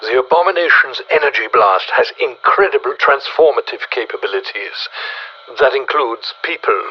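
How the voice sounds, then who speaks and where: A video game scientist with a husky voice, heard over a dull radio link. He is formal and pedantic, fascinated by oddities and openly condescending. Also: medium pitch, moderate pace. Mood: clinical. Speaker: scientist giving mission briefings. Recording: dull, muffled radio transmission.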